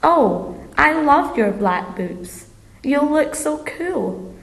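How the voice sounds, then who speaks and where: A cheerful ten-year-old girl voiced by a woman in a scripted lesson dialogue. The voice is high and the delivery very slow and deliberate. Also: very animated, gravelly, full character voice, poor audio.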